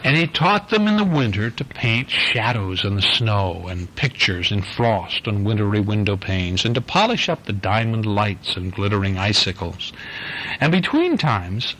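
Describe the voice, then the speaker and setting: A man reading a picture book aloud to his young daughter at bedtime at home, gently and unhurried. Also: mid-range voice, slight background noise, low-quality recording. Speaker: father reading a bedtime story. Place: home bedroom.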